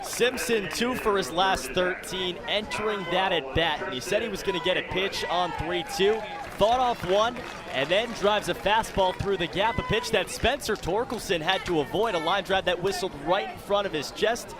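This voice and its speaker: A man's voice: high voice, normal speed. A man giving sports color commentary, recapping plays in a broadcast style. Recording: live stadium broadcast with clear crowd behind.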